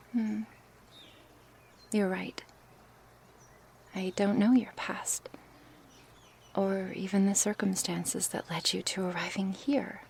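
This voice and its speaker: Woman as a slow, breathy, whispering lamia woman. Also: medium pitch, fairly even intonation, a little hiss or hum. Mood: earnest, pleading. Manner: slow, hesitant, soft-spoken with sighs and pauses. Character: female lamia, a gentle, curious, coaxing snake woman. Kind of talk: ASMR roleplay, intimate one-sided dialogue.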